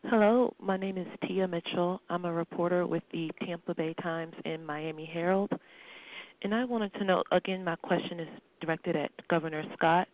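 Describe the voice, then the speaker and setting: A woman's voice: fairly low pitch, fairly even intonation, normal speed. A woman working as a newspaper reporter, asking a press question over the telephone. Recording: thin, narrow, dry phone line.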